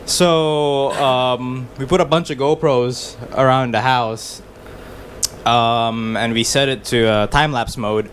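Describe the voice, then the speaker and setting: A relaxed man, born in Malaysia, recounts a story in easygoing chat, often pausing on fillers and starting sentences over. His tone is dry and slightly ironic, with a mid-range voice. Faint noise hums in the background. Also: medium pitch. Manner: laid-back, many fillers and restarts, wry humor. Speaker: musician guest.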